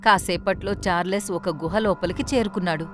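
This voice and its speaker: A woman's voice: mid-range voice, fairly even intonation, a little fast. Woman narrating children's story over music. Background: light background music.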